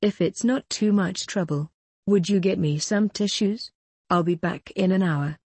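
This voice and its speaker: A woman's voice: lowish voice, measured pace, a little mellow, husky, no echo. A woman teaching English phrases in a drill, speaking carefully and repeating each phrase several times. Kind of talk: language drill narration.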